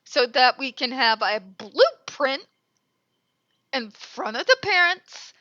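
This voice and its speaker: A woman's voice: high-pitched, sing-song, takes it slowly, sharp tone, no echo, muffled recording. Blunt, congested woman on a headset. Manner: blunt, exasperated, swears freely. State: stuffed up, congested. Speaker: commentary channel host. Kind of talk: ranting commentary.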